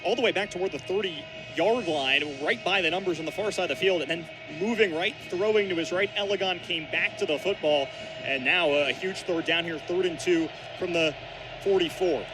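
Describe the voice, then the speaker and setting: A man's voice: high-pitched, normal speed, over music, rough recording. A young man giving explanatory, analytical color commentary on a live football radio broadcast. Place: outdoor football stadium broadcast. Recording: stadium broadcast with loud ambient noise.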